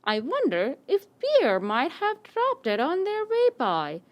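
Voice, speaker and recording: A woman acting out a cheerful, kind-hearted female animal in a children's puppet show, in an expressive singsong way. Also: high voice, slow, lo-fi sound, exaggerated voice. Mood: surprised, caring. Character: cheerful, generous female dog and kindly fox.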